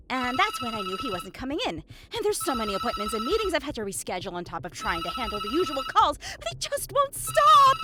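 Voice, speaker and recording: An actress playing a frazzled, overwhelmed office receptionist, flustered and exasperated, in an audio drama. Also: female voice, high-pitched, heavy background noise. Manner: flustered, polite phone patter breaking into exasperation. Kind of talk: modern audio drama acting.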